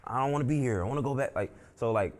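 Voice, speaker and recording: A man's voice: mid-range voice, rapid-fire, a crowd in the background. A young man from Brooklyn, New York, chatting casually as an interview guest, laid-back and full of fillers like "you know what I'm saying". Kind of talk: casual conversation.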